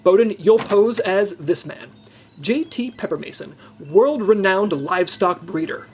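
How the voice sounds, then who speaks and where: A woman's voice: mid-range voice, lively intonation, a little mellow, faint music behind, lightly acted. Authoritative woman spy chief played by an actress. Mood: businesslike, commanding. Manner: brisk, instructing. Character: businesslike, authoritative female spy chief briefing agents. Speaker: spy agency section chief. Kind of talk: comedy audio drama acting.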